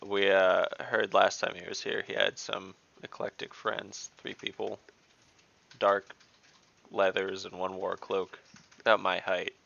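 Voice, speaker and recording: A man's voice: low voice, little pitch movement, unhurried, vocal fry, a bit bright. A man playing a tabletop game, direct and joking with a crude sense of humor. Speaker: player. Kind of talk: casual tabletop play. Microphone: home mic on video call. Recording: dull lo-fi audio.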